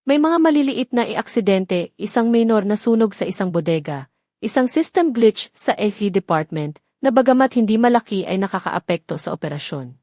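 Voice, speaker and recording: A woman's voice: mid-range voice, lively intonation, moderate pace, darkish tone, no room sound, studio quality. A woman narrator reading a scripted dramatic story.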